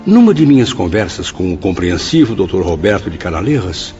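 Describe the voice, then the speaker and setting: A man's voice: low-pitched, big pitch swings, brisk, a little mellow. A man acting a reflective spirit recounting his memories in a radio drama, solemn, formal and literary. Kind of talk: scripted first-person radio drama narration. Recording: dull lo-fi audio, clear music bed.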